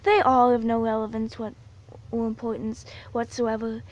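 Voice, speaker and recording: A woman guiding a hypnosis induction, slow and soothing, repeating suggestions over and over. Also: sits high.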